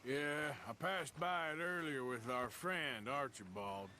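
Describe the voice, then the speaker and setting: A man playing a gruff, laconic American outlaw gunslinger in a video game. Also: medium pitch, slow pace, sharp tone, slight background noise. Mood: dry, gruff. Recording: outdoor ambience and hoofbeats behind voice.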